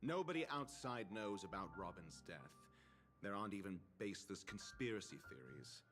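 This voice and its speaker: An actor playing a cool, reserved informant in a video game, speaking in a dry, measured manner. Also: male voice, fairly low pitch, expressive, natural voice. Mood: dry, detached. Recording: loud music behind.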